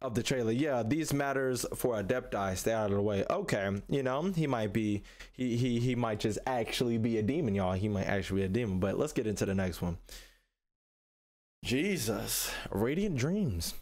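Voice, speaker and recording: A man's voice: mid-range voice, expressive, normal speed, darkish tone. A man in his twenties with an American accent, giving casual, slangy commentary as a reaction host and repeating phrases for emphasis. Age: 20s. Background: game trailer music and sound.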